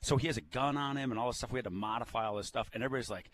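A man's voice: mid-range voice, racing, fairly bright tone, slight background noise. A man guesting on a radio show, telling casual anecdotes in a jokey way. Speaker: drama teacher, radio guest.

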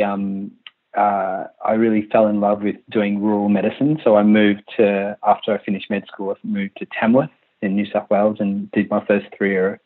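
A man in his thirties with an Australian accent, a country doctor answering interview questions in a hesitant, halting way with many fillers. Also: lowish voice. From Malaysia, raised in Western Australia. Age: 30s. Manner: hesitant, many restarts and fillers, laughs easily. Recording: tinny phone line.

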